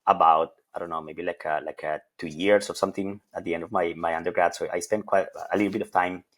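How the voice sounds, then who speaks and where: Casting note: middle-aged man from Colombia, very low voice, academic, halting, repeats words while thinking. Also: steady tone, fairly bright tone. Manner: thoughtful, many hesitations and repeated words. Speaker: associate professor of plant evolution. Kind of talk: interview answers.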